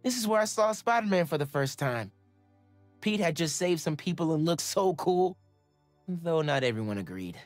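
An upbeat American teenage boy superhero voiced by a man in a video game. His voice is fairly high and youthful, earnest and casual, in a dry recording with faint music underneath. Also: expressive, normal speed, no room sound, natural voice. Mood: fond. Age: young adult. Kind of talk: game voice acting, casual reflection.

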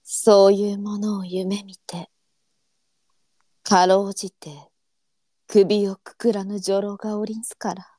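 A woman playing a tender-hearted, melancholy courtesan of old Japan in a live voice drama, speaking softly and demurely in courtesan dialect. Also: medium pitch, flat delivery, slow, long pauses, no room sound, studio quality. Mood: resigned, reflective. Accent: courtesan arinsu dialect. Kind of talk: online live voice drama acting.

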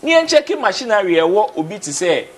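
A man's voice: sits high, big pitch swings, normal speed, breathy edge. A young Ghanaian man with a Ghanaian accent, talking as a guest in a TV studio, switching between Twi and English and repeating words as he drives his points home. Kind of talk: casual TV studio discussion. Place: TV studio, indoors.

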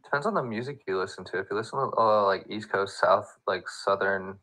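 A young man chatting easily in a recording studio, agreeable and relaxed. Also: fairly low pitch, fairly quick, poor audio. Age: young adult. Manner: easygoing, agreeable. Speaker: music producer. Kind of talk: casual chat.

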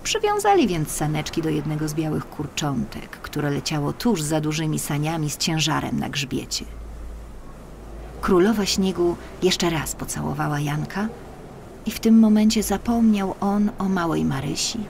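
A woman reading a scripted children's story as an audiobook narrator, with expressive, deliberate phrasing. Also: low voice, vocal fry, slight background noise. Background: music.

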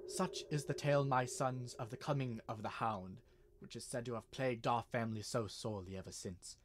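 A man playing a grave gentleman in a radio drama, measured and solemn. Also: medium pitch, background noise, close to an everyday voice. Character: grave gentleman recounting a family legend to a detective.

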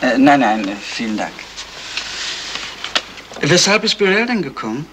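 A well-mannered company man in an old radio mystery. His mid-pitched voice is formal and assured. The recording carries a light hiss. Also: sing-song, measured pace, a bit bright. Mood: confident. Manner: formal, courteous. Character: polite, confident company man asking favours. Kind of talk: 1970s German radio crime drama acting.

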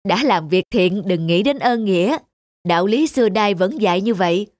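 A woman's voice: mid-range voice, expressive, moderate pace, a little mellow, no echo, close to an everyday voice. A woman voicing an honest, humble middle-aged male farmer in a folktale cartoon, plain and principled in manner. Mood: humbly firm. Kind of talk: Vietnamese folktale cartoon acting.

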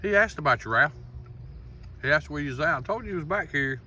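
A man's voice: on the high side, animated, fast talker. A middle-aged man, chatting folksy to viewers while fishing from a boat on a lake. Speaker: fishing channel host. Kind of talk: casual fishing vlog talk. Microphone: camera on the boat, phone selfie. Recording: loud outdoor noise behind.

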